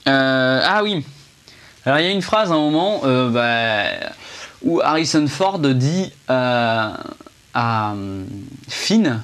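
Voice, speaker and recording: A man's voice: medium pitch, big pitch swings, slow pace, a bit bright. A young man giving a casual, rambling film review, chatty and full of fillers and restarts, speaking indoors to a camera under a ring light.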